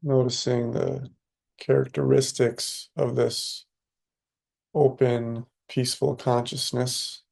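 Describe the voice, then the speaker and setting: A man leading a guided meditation as a teacher, speaking calmly and unhurriedly with long pauses. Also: medium pitch, vocal fry.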